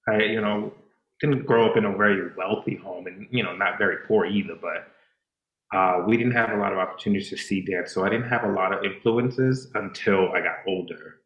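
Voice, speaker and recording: A man's voice: deep voice, a little fast, echoey room, muffled recording. A Dominican-born man whose first language is Spanish, a dancer and choreographer talking casually on a podcast, reflective, with many fillers and restarts. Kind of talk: casual interview chat.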